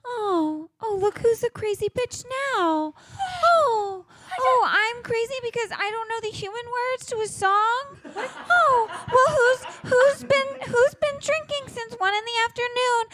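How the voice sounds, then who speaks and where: Tiny shrill sarcastic mouse. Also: female voice, measured pace, a bit bright, dry recording. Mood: mocking, tearful. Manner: mocking, overwrought. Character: tiny sarcastic crazy little mouse from a purse. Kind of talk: improvised comedy radio play.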